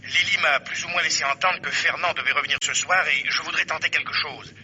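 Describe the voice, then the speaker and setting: An actor playing an earnest undercover police inspector, hesitant yet insistent, in a radio mystery play. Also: male voice, fairly high pitch, steady tone, fairly quick, pushed and bright, slightly rough, exaggerated voice, poor audio.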